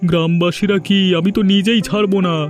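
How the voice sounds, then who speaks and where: Man acting a high-voiced indignant young villager. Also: fairly even intonation, quick pace, faint music behind, natural voice. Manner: emphatic, indignant gossip. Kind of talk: cartoon voice acting.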